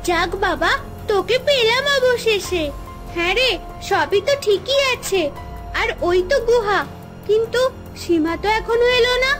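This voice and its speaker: A squeaky little cartoon girl, impatient n excitable, music in back. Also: unhurried, pauses often. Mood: excited. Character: excitable young girl adventurer in fairy tale. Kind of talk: cartoon fairy tale dialogue. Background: background music.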